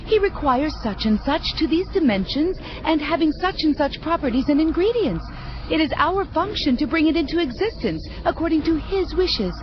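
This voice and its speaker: This is a junior angel, eager to serve and very deferential. Her high voice lilts widely as she speaks with formal, earnest politeness in an audio drama. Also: sing-song, normal speed. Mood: earnest, reverent. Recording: dull sound, faint background noise.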